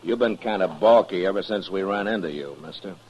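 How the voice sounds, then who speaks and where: Man acting a frontier lawman, low voice, terse, stern, dry authority, old-time radio western, slightly noisy recording. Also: fairly quick, darkish tone, close to an everyday voice. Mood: commanding.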